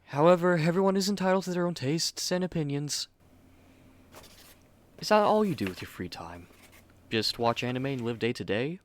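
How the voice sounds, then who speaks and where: A man's voice: fairly high pitch, lively intonation, moderate pace, pauses often, slight background noise, natural voice. A man playing a haughty, fussy young manga artist in an ASMR roleplay, speaking curtly and articulately to the listener on a close mic. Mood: haughty, inquisitive.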